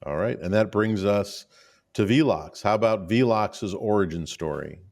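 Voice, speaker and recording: A man hosting a podcast, introducing his guest and asking questions in a measured, steady way. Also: on the low side. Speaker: podcast host.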